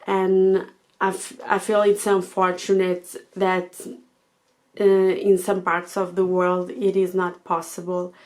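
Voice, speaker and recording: A Portuguese woman speaking English as a second language, thinking aloud to her channel with many pauses and fillers. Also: on the low side, completely flat delivery. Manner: thoughtful, hesitant, with frequent fillers. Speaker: channel host. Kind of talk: reflective monologue to camera.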